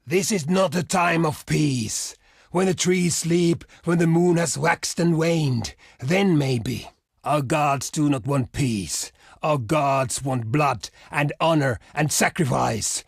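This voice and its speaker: A proud barbarian chieftain from a strategy video game. His voice sits a little high for a man and is thick with gravel and husk, recorded close and dry. He declaims solemnly and defiantly in old-fashioned phrases. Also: slow pace, no echo, exaggerated voice. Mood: firm, measured refusal. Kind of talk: dramatic game diplomacy voice acting.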